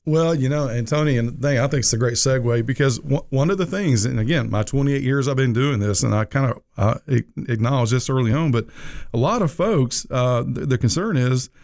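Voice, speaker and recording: A man's voice: mid-range voice, brisk, darkish tone. A middle-aged man hosting a financial radio show, talking in a folksy, rambling way with lots of "you know". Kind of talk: casual radio talk.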